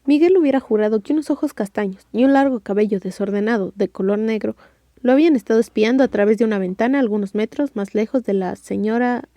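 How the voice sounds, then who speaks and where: A woman's voice: a little high, fast, dark tone, no echo. A woman with a Mexican accent narrating an audiobook story in a wry, scripted style.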